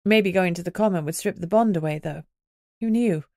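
Woman narrator, lowish voice, dry close sound. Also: brisk, gravelly, a little mellow, dry recording. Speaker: audiobook narrator. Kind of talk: scripted first-person audiobook narration.

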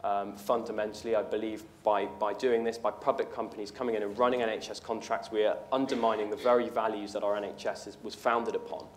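A man's voice: fairly low pitch, flat delivery, quick pace, few pauses, a little hiss or hum. A young man, a political candidate, answering audience questions in a university lecture hall in an earnest way, with many ums and restarts. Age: young adult. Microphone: room mic at a lectern, far camera.

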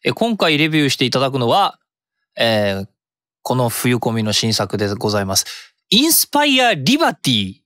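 A man's voice: medium pitch, big pitch swings, moderate pace, a bit bright, no room sound, studio quality. A man in his thirties hosting a casual radio chat as a podcaster. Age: 30s. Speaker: podcast host, music creator. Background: music.

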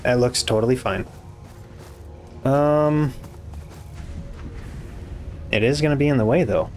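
A hoarse young man with a raspy voice, casually commenting on his game as he builds, muttering to himself and swearing when things go wrong. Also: mid-range voice, slow, darkish tone, soft background music. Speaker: gaming YouTuber. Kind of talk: casual let's-play commentary.

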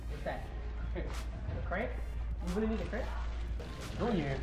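A man in his twenties bantering loudly and playfully in slang for a casual vlog. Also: high voice, lively intonation, moderate pace. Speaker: vlogger pranking customers with a bullhorn. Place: motorcycle dealership showroom and its parking lot. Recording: loud music over the voice.

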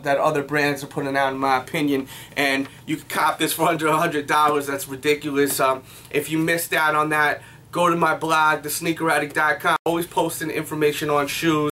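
Slangy, higher-pitched American male sneaker vlogger. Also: bright, forceful tone. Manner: slangy hype-man patter with repeated catchphrases. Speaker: sneaker review show host. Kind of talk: casual vlog product review. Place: small indoor room.

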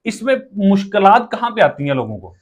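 A man in his thirties hosts an interview in a small workshop. He speaks with a Pakistani accent in a high-pitched voice, putting questions to his guests. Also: very animated, brisk. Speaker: interviewer, video host. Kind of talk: on-location interview. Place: small brick-walled workshop room indoors. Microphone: lapel.

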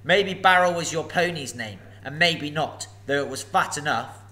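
A man voicing a sly, menacing old male dragon, slow and mocking. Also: fairly high pitch, bright, forceful tone. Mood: sneering.

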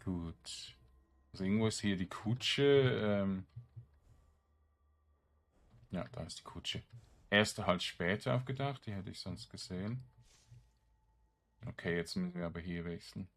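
Slow-talking, low-voiced grumbling male gamer. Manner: grumbling, exasperated, muttering to himself. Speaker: video game streamer. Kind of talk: casual let's-play commentary.

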